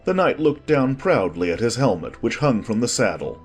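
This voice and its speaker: A man's voice: medium pitch, big pitch swings, moderate pace, faint music behind. A man narrating a storybook as a scripted audiobook.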